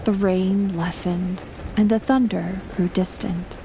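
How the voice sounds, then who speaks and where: A woman playing a gentle, shyly affectionate best friend in an intimate audio roleplay, speaking softly and hesitantly with a breathy, near-whispered voice. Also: mid-range voice, fairly even intonation, noisy background. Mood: soothing storytelling. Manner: soft, hesitant, slow with long pauses. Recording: loud rain and thunder throughout. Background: rain and thunder effects.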